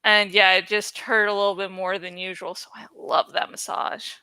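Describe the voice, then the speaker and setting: A woman's voice: medium pitch, flat delivery, moderate pace, bright, forceful tone, clear, resonant tone, no room sound, rough recording. A woman YouTuber talking casually to her viewers in a playful, rambling, self-deprecating way. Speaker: YouTuber who reads books aloud. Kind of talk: casual, chatty vlog talk to viewers.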